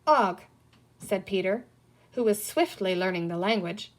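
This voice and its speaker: A woman's voice: medium pitch, normal speed, darkish tone, low-quality recording. A woman narrating a children's story as an audiobook reader.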